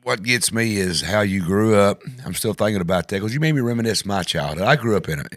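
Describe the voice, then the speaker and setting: A man's voice: lowish voice, animated, fast talker, no room sound, clean studio sound. A man with a West Tennessee accent hosting a talk show, chatting in a folksy, rambling way. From Tennessee, USA. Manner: folksy, rambling, frequent "yeah" agreements. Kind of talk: casual chat.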